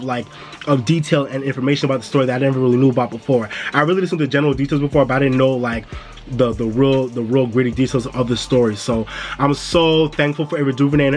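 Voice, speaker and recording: A man hosting a casual review vlog, laid-back and chatty, calling his viewers "y'all". He sounds a bit stuffy because he is coming down with a cold. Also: medium pitch. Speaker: music and entertainment YouTuber.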